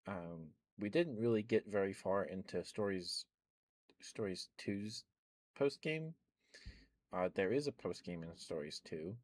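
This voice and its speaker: A young man, an ASMR streamer, rambling softly in casual chat with frequent chuckles. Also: low voice, dry recording. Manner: soft-spoken, rambling, chuckles often.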